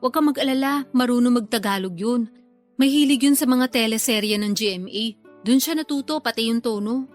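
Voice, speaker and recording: A woman plays an outspoken Filipino mother in a radio drama. Her voice sits high, and she chats bluntly and coaxes hard. Faint music plays underneath. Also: a little fast, lightly acted. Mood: bossy, brisk. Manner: blunt, coaxing, chatty. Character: outspoken middle-aged mother pleading for her daughter's schooling.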